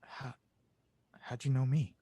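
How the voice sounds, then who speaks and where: A man's voice: mid-range voice, level tone, slow, warm tone, no echo. An earnest, troubled adventurer played by a man in an audio drama. He speaks seriously and with some guardedness. The sound is very dry. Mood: shaken. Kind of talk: fan audio drama table read.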